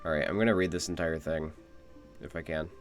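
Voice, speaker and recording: A man's voice: very deep voice, steady tone, fairly quick. A man giving casual live game commentary as a streamer, musing aloud. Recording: music behind the voice.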